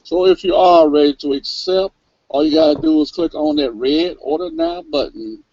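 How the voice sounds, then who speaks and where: A man reading out a sales offer from a script in a brisk, upbeat pitch. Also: a little mellow. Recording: dry, dull lo-fi webcast audio.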